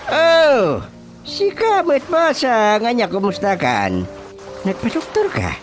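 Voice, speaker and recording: A man's voice: extremely high pitch, wildly swooping pitch, takes it slowly, music in the background, exaggerated voice. An actor playing a bossy, fussy village chairman and healer in a radio comedy drama, with an Ilocano Filipino accent and a hesitant, muttering manner. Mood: animated.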